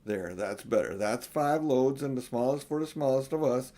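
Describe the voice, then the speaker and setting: A man voicing an unflappable, motherly female beaver in a children's storybook, homely and gently scolding. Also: medium pitch, moderate pace, close to an everyday voice.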